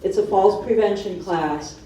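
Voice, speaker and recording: A middle-aged woman from Honduras giving an informal, animated personal talk to an audience as a fitness instructor. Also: mid-range voice, unhurried. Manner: animated, conversational storytelling with acted-out asides. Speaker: fitness instructor on a seminar panel. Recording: distant mic in echoey room, dull sound.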